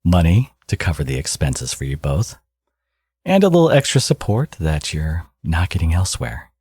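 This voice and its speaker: A man playing a smug, teasing villain with a secretly caring side in an ASMR audio roleplay, speaking casually and sardonically with a husky voice. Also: deep voice, wildly swooping pitch, moderate pace, a little mellow, dry recording, clean studio sound, heavily acted. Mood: smug, coaxing.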